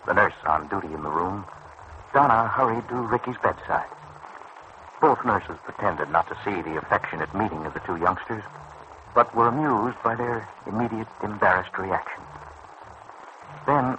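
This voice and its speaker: Male narrator for old-time radio drama: low, forceful voice, measured dramatic delivery, light background hiss. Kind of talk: scripted radio serial narration. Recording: noisy old radio recording.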